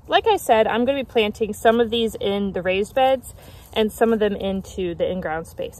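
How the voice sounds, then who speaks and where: A woman's voice: mid-range voice, darkish tone. A woman in her thirties talking casually and explaining as she works, hosting a gardening vlog outdoors. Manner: explanatory, conversational. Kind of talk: casual instructional vlog talk while working. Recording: clear outdoor background noise.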